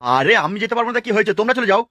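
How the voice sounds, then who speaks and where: A man's voice: high-pitched, big pitch swings, very fast, pushed and bright, no echo, full character voice. A man playing a brash, irritable small-time gangster in an amateur cartoon dub. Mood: irritated, threatening. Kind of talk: amateur Bengali cartoon dubbing.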